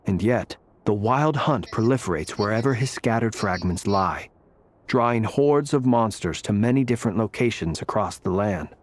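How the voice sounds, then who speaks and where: A man voicing a courteous, shrewd gentleman investigator in an anime game, polished and formal. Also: fairly low pitch, animated, normal speed. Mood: composed, analytical.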